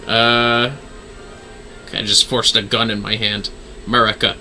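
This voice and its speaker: A man's voice: fairly low pitch, little pitch movement, measured pace, sharp tone, faint music behind, low-quality recording. A man livestreaming a video game with casual, offhand commentary and sweary joking asides.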